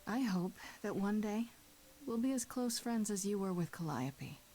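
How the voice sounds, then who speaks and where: A woman's voice: mid-range voice, animated, a little slow, dark tone, a little hiss or hum, close to an everyday voice. An actress voicing a spirited, earnest young woman in a video game, with a direct conversational manner. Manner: direct, conversational, slightly sardonic.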